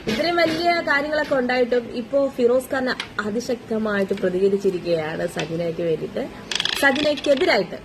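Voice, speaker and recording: High-voiced woman over clear background noise. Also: lively intonation, fairly quick. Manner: exasperated, moralizing, rhetorical questions. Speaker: commentary vlogger. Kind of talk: casual opinion commentary on gossip. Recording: noisy amateur voice recording.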